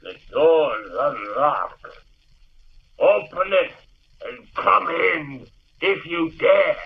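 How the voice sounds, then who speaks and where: A man's voice: a little high, expressive, extremely slow, creaky, heavily acted. An actor playing a murderous, evil man-monster in an old radio horror drama, theatrical and menacing. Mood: menacing, gloating. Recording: dull, noisy old radio recording.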